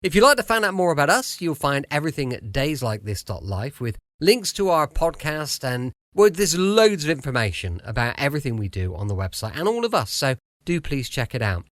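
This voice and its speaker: British podcast guy, chatty intro, really animated, highish voice, dry studio sound. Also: a little fast, no room sound. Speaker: podcast host. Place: home studio.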